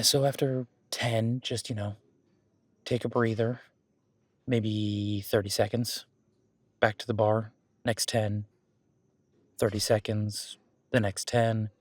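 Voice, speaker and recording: A man's voice: fairly low pitch, a little mellow, no echo. A man playing a friendly, easygoing werewolf boyfriend who coaches his date at the gym in a casual, encouraging, rambling roleplay audio. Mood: instructive, relaxed. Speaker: gym partner giving workout guidance. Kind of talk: roleplay audio drama, one-sided casual conversation.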